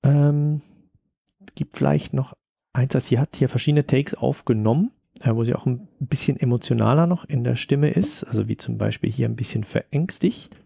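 Ein Mann kommentiert locker ein Tutorial und denkt beim Arbeiten zögernd laut mit. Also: male voice, medium pitch, moderate pace, vocal fry, warm tone, breathy edge, dry recording, studio quality. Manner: hesitant, thinking aloud while working. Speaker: tutorial presenter, audio drama editor. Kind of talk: casual screen-recorded tutorial commentary.

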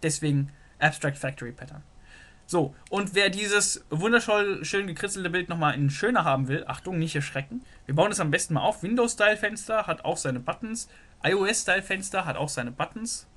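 A man walks through a software diagram as he draws it, thinking aloud with frequent filler words. His voice sits a little high and sounds bright and forward. He is recorded close on a desktop microphone. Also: lively intonation, moderate pace. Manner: thinks aloud while sketching, many "so" fillers. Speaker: programming tutor. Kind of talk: screen-recorded whiteboard lesson.

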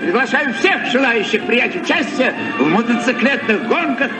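A man's voice: very high voice, animated, normal speed. A man playing a flamboyant, fanciful adventurer, declaiming exuberantly in a vintage radio play. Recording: echoing distant old radio recording, music.

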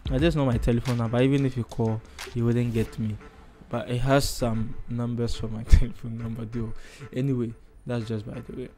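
Ghanaian guy doing a chatty how-to, kinda rambly thinking out loud, normal pitch, music pretty loud behind him. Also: little pitch movement, brisk. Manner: chatty, rambling, thinking aloud while working. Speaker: tutorial host. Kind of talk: casual screen-recorded software tutorial.

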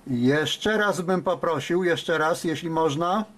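A man's voice: on the high side, slow pace, fairly bright tone. A man working as a radio operator, giving call-outs and casual commentary a desk indoors. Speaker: amateur radio operator, host.

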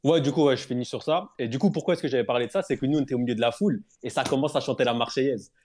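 A man tells a personal story as a guest on a casual show. He talks quickly at an ordinary pitch, relaxed and rambling with fillers. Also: a little hiss or hum.

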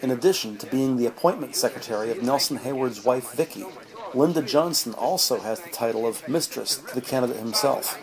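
A man's voice: mid-range voice, brisk, crowd noise, rough recording. A man reading scripted, descriptive narration for an audio drama. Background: prominent music.

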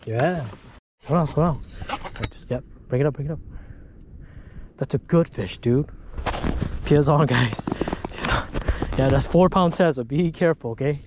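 A young man hosting a fishing vlog from a boat on a lake, excitable and chatty, often shouting when a fish bites. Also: on the high side, a little slow, pauses often, background noise. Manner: excitable, shouts on catches, says dude and bro a lot. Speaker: fishing channel host. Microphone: action camera worn on head.

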